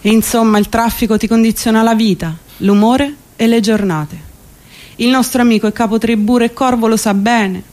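A woman's voice: fairly high pitch, steady tone, normal speed, darkish tone, close to an everyday voice. An actress as a wry female mock-documentary guide. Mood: wry, introducing. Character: wry female guide to an urban jungle of drivers. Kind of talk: scripted comic radio theatre narration.

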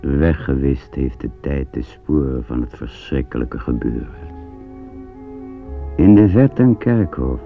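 A man narrating a war radio drama in a solemn, grave manner with a gravelly voice. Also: very deep voice, animated, slow pace, soft background music, heavily acted. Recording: thin phone-like old radio recording.

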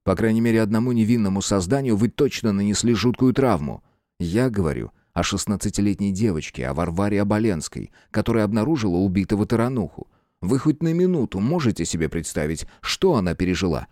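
A man's voice: on the low side, expressive, a little mellow, no room sound, lightly acted. Man as a stern, composed investigator, dry close sound. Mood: sharp, pressing. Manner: measured, firm, unsentimental. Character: composed, stern male investigator, coolly pressing a suspect. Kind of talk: dramatic audiobook character reading.

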